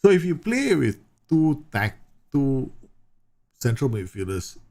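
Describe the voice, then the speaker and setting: A man's voice: mid-range voice, big pitch swings, slow, creaky, darkish tone. A man from Singapore livestreaming a football management game, chatting and explaining tactics to his viewers with frequent fillers and restarts. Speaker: streamer. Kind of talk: live game commentary answering chat.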